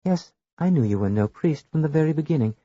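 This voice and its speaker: A man's voice: fairly high pitch, lively intonation, quick pace, no echo, pitched above the performer's own voice. Figure: a man doing a mild, clever little priest. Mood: gentle. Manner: gentle, polite, quietly knowing. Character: small, mild, clever old male priest. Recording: dull lo-fi dry recording.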